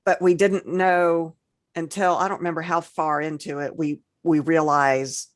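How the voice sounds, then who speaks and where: A woman co-hosting a casual podcast discussion, talking haltingly as she thinks aloud. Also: low-pitched, level tone. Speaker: podcast co-host.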